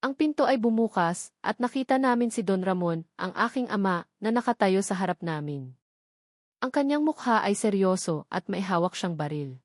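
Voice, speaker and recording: A woman's voice: medium pitch, lively intonation, normal speed, no echo, clean studio sound. A woman reading a scripted first-person romance drama as its narrator.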